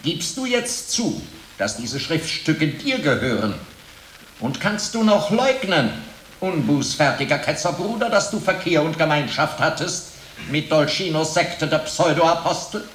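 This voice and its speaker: An actor playing a suave, menacing medieval inquisitor in a radio drama, with pompous, rhetorical, deliberate delivery. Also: male voice, a little high, lively intonation, a bit bright. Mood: menacing accusation. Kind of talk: German radio drama acting. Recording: echoey room, faint background noise.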